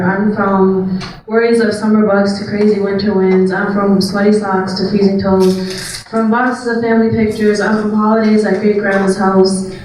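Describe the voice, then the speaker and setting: Teen girl poet, mellow steady recitation, heard from the back of a roomy classroom. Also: mid-range voice. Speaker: student poet. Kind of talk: spoken word poetry reading. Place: school classroom. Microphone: handheld mic filmed from far back. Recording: far-off echoey room, background noise.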